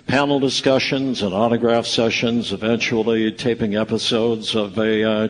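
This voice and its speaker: A man narrating a scripted radio play like a storyteller, measured, with deliberate pauses. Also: medium pitch, slight background noise. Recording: live stage with long reverb.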